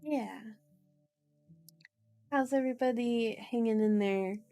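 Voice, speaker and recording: Slow, sleepy woman streamer, cutesy ASMR chat. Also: fairly high pitch, dark tone, no echo, faint music behind. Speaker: streamer hosting an ASMR book reading. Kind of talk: ASMR, casual rambling chat to viewers.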